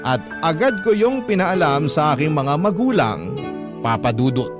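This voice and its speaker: A man's voice: fairly high pitch, sing-song, normal speed. An actor with a Filipino accent playing an earnest, conscientious young boyfriend narrating his story in the first person for a radio drama. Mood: troubled. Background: loud music bed.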